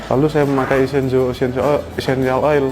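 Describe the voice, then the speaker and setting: A man's voice: medium pitch, level tone, fast, poor audio. A man from Surabaya giving a spoken product testimonial as a customer. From Surabaya, East Java, Indonesia. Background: background music.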